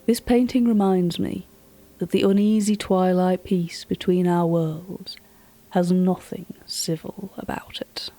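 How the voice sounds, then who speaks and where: An actress playing a chatty, wry British gallery writer, telling a story directly to a listener in audio drama narration. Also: female voice, fairly low pitch, fairly even intonation, a little slow, mellow. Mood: hushed, ominous.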